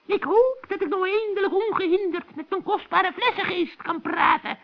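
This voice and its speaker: A man playing a cranky, scheming old forest witch with a shrill, cackling voice in a children's radio play. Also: normal speed, gravelly, muffled recording. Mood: grumbling. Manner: scolding, exclaiming, wheedling.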